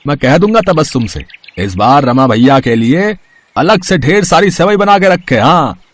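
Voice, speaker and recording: A man's voice: a little high, very animated, moderate pace. A man voicing a good-natured, devout village farmer in a storybook tale, amiable and obliging.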